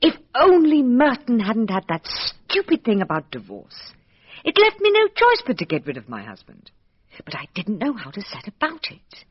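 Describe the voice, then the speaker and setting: A vain aristocratic murderess talks airily, her pitch swooping extravagantly. The voice is breathy and wholly unrepentant. It is a muffled radio drama recording. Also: huge pitch swings, moderate pace, no room sound, heavily acted. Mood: airy, unrepentant. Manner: airy, self-absorbed, unrepentant.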